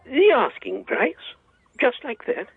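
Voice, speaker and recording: A gravelly, rambling octogenarian. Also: male voice, extremely high pitch, big pitch swings, slow, no room sound, narrow, tinny audio, exaggerated voice. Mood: genial. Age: 80s. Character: elderly male family friend, genial and vague.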